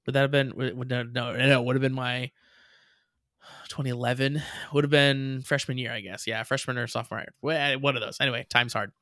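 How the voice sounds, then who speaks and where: A man's voice: mid-range voice, fairly even intonation, fairly quick, pushed and bright, no echo, clean studio sound. A young man co-hosting a podcast, chatting in a rambling way with many restarts and frequent laughs. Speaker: podcast co-host. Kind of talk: casual chat.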